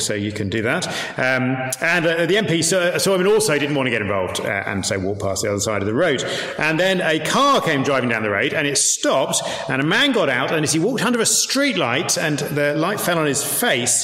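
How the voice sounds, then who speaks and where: A male preacher with an English accent speaks in a reverberant hall, his voice trailed by echo over some faint noise. He delivers his sermon like a storyteller, conversational and a little halting, with dry asides. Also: mid-range voice, fairly bright tone. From York, England. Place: large reverberant indoor room.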